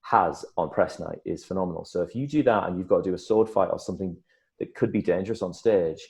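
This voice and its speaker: A middle-aged man, an actor, telling stories in a casual interview, lively and full of restarts. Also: low-pitched, quick pace, vocal fry. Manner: animated storyteller, many restarts and repeated words. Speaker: actor guest.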